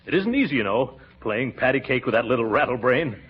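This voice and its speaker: A blustery middle-aged uncle, played by a man, with a very animated, pompous delivery. He hems and haws in old radio comedy style. The recording is dull, with some background noise. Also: medium pitch, a little fast, close to an everyday voice. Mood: conspiratorial. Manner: blustery, hesitant, chuckling, self-important. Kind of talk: 1940s radio comedy acting. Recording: dull, noisy vintage radio, audience behind. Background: studio audience.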